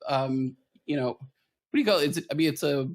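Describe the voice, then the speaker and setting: Higher-voiced man talking film on a podcast. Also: brisk. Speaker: podcast host. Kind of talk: casual film discussion.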